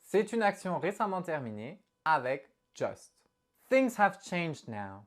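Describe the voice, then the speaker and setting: Animated woman teaching a lesson. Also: fairly low pitch, slow. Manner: animated, expressive explaining to viewers. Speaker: English teacher. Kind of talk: online language lesson.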